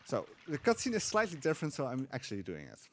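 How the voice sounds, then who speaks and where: A man's voice: mid-range voice, wildly swooping pitch, fast, a little hiss or hum. A man with a Dutch accent giving casual live commentary on his speedrun, explaining as he plays.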